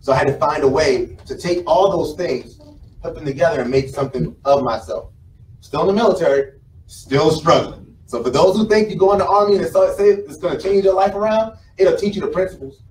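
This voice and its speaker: An American man speaks to a live audience in an informal motivational style. His voice sits a little high, and he tells his story conversationally, repeating phrases for emphasis. The room echoes around him and there is faint noise behind his voice. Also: fairly quick, a little mellow. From South Carolina, United States. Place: indoor conference room with a small stage. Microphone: camera mic from the audience, several meters away. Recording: roomy, distant mic, some background noise.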